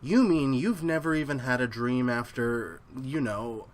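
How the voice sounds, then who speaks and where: A man's voice: medium pitch, a little slow, lightly acted. A man playing a skeptical, joshing friend in 1930s-style radio drama dialogue, folksy and incredulous. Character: skeptical, joshing male friend, chummy and astonished.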